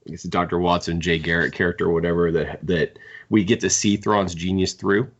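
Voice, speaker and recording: Very deep-voiced, gravelly man. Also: steady tone, fairly quick, dull audio. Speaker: podcast co-host. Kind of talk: casual book discussion.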